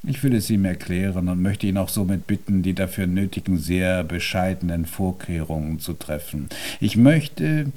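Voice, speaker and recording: Dark-voiced, imperious composer played by a man. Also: low-pitched, close to an everyday voice. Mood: commanding. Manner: formal, deliberate, pompous, commanding. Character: imperious, stubborn composer-pianist of old Vienna, a man. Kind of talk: German radio drama acting.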